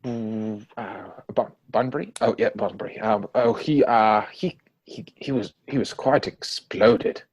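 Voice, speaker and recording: A man playing a flustered, evasive young gentleman in a period stage comedy, with a stammering, hesitant manner. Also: on the low side, animated, unhurried, breathy edge, full character voice, poor audio. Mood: nervous, evasive.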